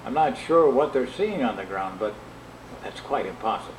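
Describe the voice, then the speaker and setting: A man's voice: mid-range voice, expressive, normal speed, close to an everyday voice. A man playing a cautious, learned scientist who speaks formally and hesitantly in a radio drama interview. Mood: guarded, careful. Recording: echoey room playback, faint noise.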